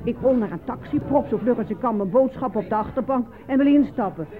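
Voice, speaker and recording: Een actrice die in een hoorspel een brutale, spottende, grofgebekte stadse vrouw speelt, theatraal van toon. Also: female voice, a little high, brisk, close to an everyday voice. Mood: indignant storytelling. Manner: coarse, theatrical. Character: brash, mocking, foul-mouthed city woman. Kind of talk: radio play acting. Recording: noisy, phone-like old radio recording.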